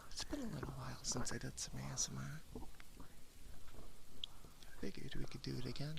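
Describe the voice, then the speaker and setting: Female ASMR streamer: intimate, hushed casual chat slipping in and out of whisper, fry in the voice, noisy close-mic setup. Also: very deep voice, brisk. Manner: hushed, intimate, shifting between soft speech and whisper. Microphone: close binaural ASMR microphone. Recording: close binaural mic with clear background noise.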